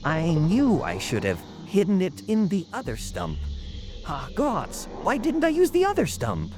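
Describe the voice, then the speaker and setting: A man's voice: high-pitched, wildly swooping pitch, measured pace, over music, pitched above the performer's own voice, exaggerated voice. A man voicing a timid little fantasy townsman who mutters anxiously and hesitantly to himself.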